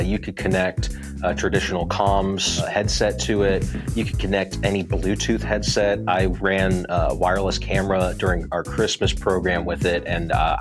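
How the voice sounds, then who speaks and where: A man giving an informal testimonial interview as a video specialist. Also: low voice.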